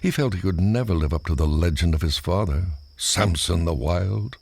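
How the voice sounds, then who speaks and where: A man's voice: sits low, moderate pace, vocal fry, a little mellow, no echo. A man reading a scripted children's read-along story as its narrator. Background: music.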